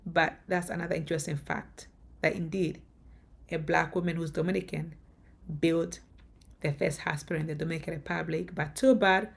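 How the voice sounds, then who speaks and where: A woman from Africa speaking in a fairly low register. She addresses her viewers in a relaxed vlog style and presses her points home by repeating them with conviction. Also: vocal fry. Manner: emphatic, repeats points insistently. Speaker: vlogger. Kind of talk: casual talk to camera.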